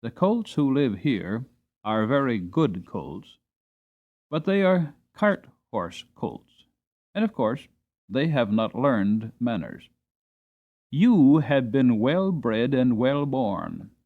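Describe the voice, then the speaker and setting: A man voicing a wise old mother horse, gentle, dignified and earnest, giving measured counsel in a dramatic storybook reading. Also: mid-range voice, sing-song, phone-quality sound, full character voice. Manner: measured, earnest, admonishing delivery.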